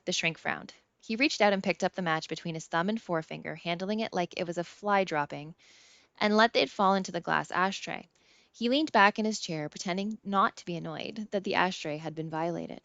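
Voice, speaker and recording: A woman's voice: lowish voice, moderate pace, gravelly, fairly bright tone, no room sound. A woman reading scripted first-person fiction as an audiobook narrator. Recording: slightly dull, dry close recording.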